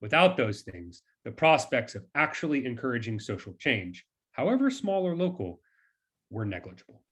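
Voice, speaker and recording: A man co-presenting as a lecturer, reading a scripted talk in a formal, measured storytelling manner. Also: mid-range voice, big pitch swings, slightly rough.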